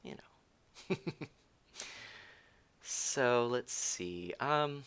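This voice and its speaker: Giggly streamer with a bright, lively voice. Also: male voice, medium pitch, very slow, clear, resonant tone. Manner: giggly and teasing, thinks aloud; rambling with frequent restarts. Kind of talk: casual live gameplay streaming, chatting with viewers.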